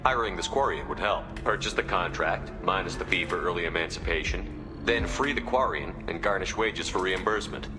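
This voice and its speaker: Actor as male military commander for a sci-fi game: low voice, firm, supportive, background music. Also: brisk, in a large hall, natural voice. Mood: firm, decisive. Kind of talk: video game voice acting. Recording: game audio with music and rumble behind.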